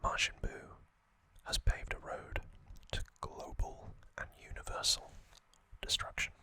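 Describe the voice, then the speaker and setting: A man hosting ASMR, whispering trivia narration in a breathy, unvoiced voice with soothing, deliberate short phrases. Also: sharp tone. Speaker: ASMR host. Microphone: close microphone.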